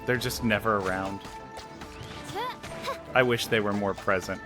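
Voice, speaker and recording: A man with a low voice casually commentates on a game. He rambles and thinks aloud in let's play style, with faint music behind him. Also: fairly even intonation, measured pace.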